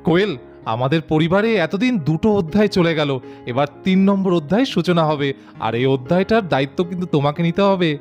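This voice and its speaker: Voice doing a teasing young fiancé. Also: male voice, a little high, expressive, fast talker, a little mellow, highs cut off, soft background music, natural voice. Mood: affectionate. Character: young sweet-talking fiancé. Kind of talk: doll-cartoon dialogue.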